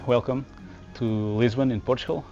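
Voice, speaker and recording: A man's voice: mid-range voice, fairly even intonation, normal speed, lo-fi sound, over loud music. A man interviewing exhibitors for a podcast at a trade show, asking his questions hesitantly with fillers.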